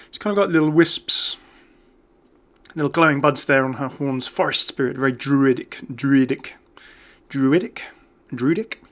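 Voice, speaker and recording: A man's voice: a little high, fairly bright tone. A man giving casual, jokey commentary as a gaming video host. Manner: chatty, jokey.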